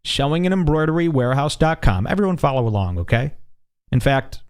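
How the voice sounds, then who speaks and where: A man's voice: a little high, very animated, clean tone. Mocking male comedy host, drawing words out. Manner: mocking, drawn-out emphasis on words. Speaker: comedy radio show host. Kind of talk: prank call comedy bit, mocking commentary.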